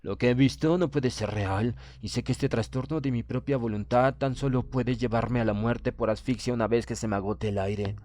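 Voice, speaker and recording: Un hombre que lee un audiolibro de terror como un orgulloso oficial naval prusiano condenado, con tono grave y pausado. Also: male voice, medium pitch, a little mellow, natural voice. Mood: resigned resolve. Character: proud, doomed Prussian naval officer writing his last account. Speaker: audiobook reader. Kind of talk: dramatic horror audiobook narration.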